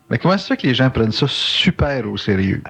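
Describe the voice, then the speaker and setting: A man's voice: medium pitch, sing-song, moderate pace, fairly bright tone, husky. A man asking probing questions, often hesitating and restarting. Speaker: interviewer. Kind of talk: interview questions. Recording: dull old cassette tape.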